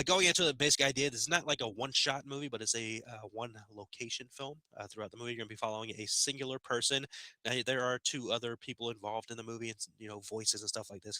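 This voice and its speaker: A man reviewing a movie in a relaxed, chatty way, often tossing in "man". His voice is very bright and forward, a little nasal from a recent sickness. The sound is close and dry, with no room around it. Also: medium pitch, no echo. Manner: laid-back, chatty, says "man" a lot. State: slightly nasal, getting over a sickness. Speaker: movie review channel host. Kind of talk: casual spoken film review. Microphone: headphones on, desk podcast mic.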